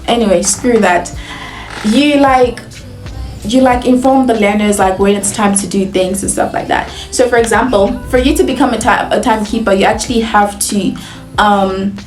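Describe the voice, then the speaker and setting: A woman's voice: medium pitch, reverberant. A young woman from Nigeria with a Nigerian accent, telling a casual, chatty story as a vlogger, laughing often and filling with "like". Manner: chatty, animated, laughs often, heavy use of "like".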